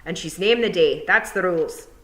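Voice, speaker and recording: A woman's voice: on the low side, moderate pace, a bit bright, close to an everyday voice. A woman voicing a small, blustering male pixie warrior chief. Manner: blustering, gruff, bossy.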